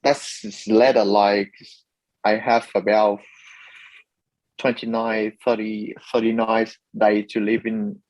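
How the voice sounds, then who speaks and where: A young man from Vietnam speaking halting English with a Vietnamese accent, answering interview questions. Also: on the low side, level tone, darkish tone, dry recording. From Ho Chi Minh City, Vietnam. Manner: hesitant, halting English with fillers. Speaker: interview guest. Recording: slightly dull, lo-fi audio.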